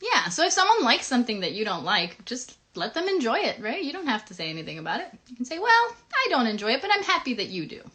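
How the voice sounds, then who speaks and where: A woman co-hosting a children's puppet show, chatting casually in an encouraging, gently instructive way. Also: fairly high pitch, wildly swooping pitch, quick pace, hardly any pauses. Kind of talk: casual interactive chat with children.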